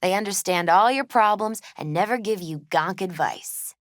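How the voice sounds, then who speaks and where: A woman playing a gentle, grieving woman in her twenties in a video game, subdued, hesitant and wistful in naturalistic dialogue. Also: mid-range voice, moderate pace, dry recording, clean studio sound, close to an everyday voice. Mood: fond, reminiscing. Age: 20s.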